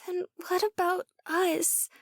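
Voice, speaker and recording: A woman voicing an emotional, pleading young woman in a visual novel, with a girlish voice and halting delivery. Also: flat delivery, no echo, clean studio sound, heavily acted. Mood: hurt, upset. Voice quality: girlish, high-pitched.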